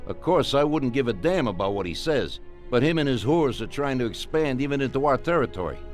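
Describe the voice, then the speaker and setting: Commanding, calculating Mafia boss played by an actor. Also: male voice, medium pitch, lightly acted. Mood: disgusted, scheming. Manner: authoritative, deliberate, giving orders. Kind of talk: scripted video game dialogue.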